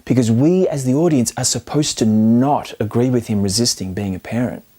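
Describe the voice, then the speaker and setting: A man's voice: medium pitch, normal speed, mellow. A man hosting a scripted film video essay, speaking in an emphatic, playfully argumentative and colloquial way. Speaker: film commentary host.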